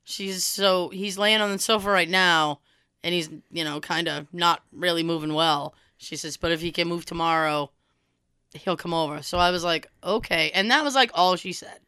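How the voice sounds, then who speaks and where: Young woman, cold-hoarse, bright crisp voice, dry close sound, animated storyteller. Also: lowish voice, moderate pace, no room sound. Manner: animated, swearing, acts out quoted dialogue. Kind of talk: casual storytelling chat.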